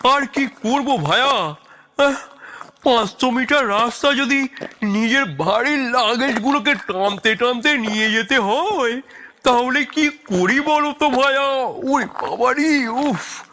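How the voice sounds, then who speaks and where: An actor playing a comic, complaining sidekick in an audio story, out of breath and groaning. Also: male voice, very high voice, slow, pushed and bright, a little hiss or hum, exaggerated voice. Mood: exhausted, complaining. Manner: whining, exclaiming, complaining. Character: comic, huffing older male sidekick with heavy luggage.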